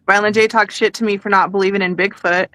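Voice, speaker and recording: Female podcast guest, very bright voice, mid pitch, informal anecdotal chat. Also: fairly even intonation, fast, dry recording, low-quality recording. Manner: informal, peppers talk with "like".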